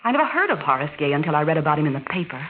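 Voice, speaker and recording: An actress playing a scheming, coaxing wife in a melodramatic 1940s radio style. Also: female voice, low-pitched, big pitch swings, racing. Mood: sly, smug. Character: scheming, coaxing adulterous wife, breathlessly devoted to her lover. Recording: tinny, hissy 1940s radio recording.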